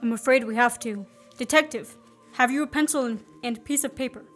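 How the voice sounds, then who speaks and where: A woman takes the part of a falsely accused man in a radio drama. The voice sits fairly high and carries determination and dry wit. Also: fairly quick, fairly bright tone, faint music behind. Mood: urgent, determined. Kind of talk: radio play acting.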